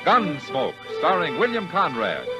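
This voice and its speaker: Dramatic male radio announcer over loud music. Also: fairly high pitch, wildly swooping pitch, measured pace. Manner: emphatic, theatrical.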